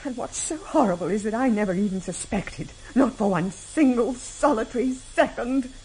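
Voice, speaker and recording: An actress in a vintage radio drama playing a loving, betrayed wife, speaking haltingly with pleading emotion. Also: female voice, fairly high pitch, a little mellow, slight background noise, natural voice, rough recording. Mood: hurt, pleading.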